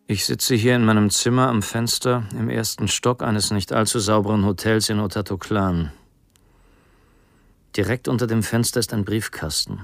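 A man's voice: on the low side, steady tone, normal speed, creaky, dry recording. An actor playing a resigned, frightened man on the run, voicing his farewell letter in a subdued, melancholy way in a radio play. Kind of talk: radio play monologue, letter read in character.

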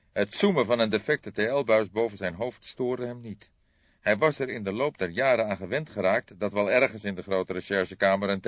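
A man reading a detective novel aloud as scripted narration, in a measured storytelling manner. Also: deep voice, a bit bright. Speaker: audiobook narrator. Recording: dull lo-fi, boxy roomy sound.

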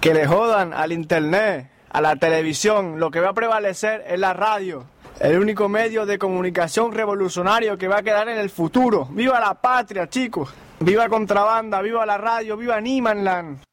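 A man giving a fiery, exclaiming shout-out for radio, like a rallying cry. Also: high-pitched, a little fast.

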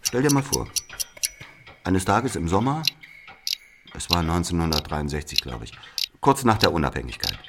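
Man playing embittered brother in a literary drama: low, conversational, accusing, with noise behind. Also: very animated, moderate pace, long pauses. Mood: confiding. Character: bitter Algerian man retelling his brother's killing. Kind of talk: confiding dramatic monologue to a listener.

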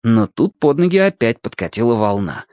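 A man reading a children's story as an expressive audiobook narrator. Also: medium pitch, moderate pace, no room sound.

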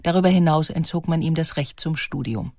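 A woman narrating a scripted biographical documentary in a measured, articulate manner. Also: sits low, mellow, no echo, clean studio sound.

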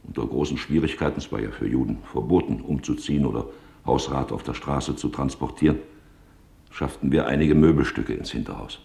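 An actor playing a grave businessman giving factual wartime testimony in a radio documentary drama, speaking deliberately. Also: a bass voice, gravelly, dark tone, roomy sound. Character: sober Dutch businessman, a witness recalling wartime hiding.

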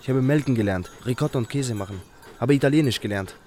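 A male actor playing a naive, earnest fifteen-year-old Albanian shepherd boy with simple, halting speech in a German radio drama. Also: medium pitch, dark tone, lightly acted. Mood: wistful, earnest.

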